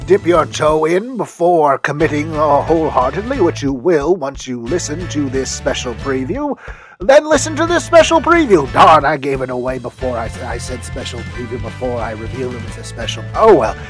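A chatty podcast pitchman acted by a man rambles through a promotional recommendation in a fairly high male voice. He is excitable and playfully emphatic, interrupting himself and swinging widely in pitch, with light music underneath. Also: moderate pace, lightly acted. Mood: chirpy, rambling. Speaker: podcast host giving a recommendation. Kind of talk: promotional monologue.

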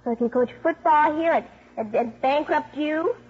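A woman's voice: high-pitched, normal speed, lightly acted. An actress playing a streetwise, cheeky schoolgirl with slangy, matter-of-fact talk in a 1950s radio drama. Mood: cocky. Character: streetwise, precocious schoolgirl, brash and unimpressed by grown-ups. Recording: muffled 1950s radio broadcast.

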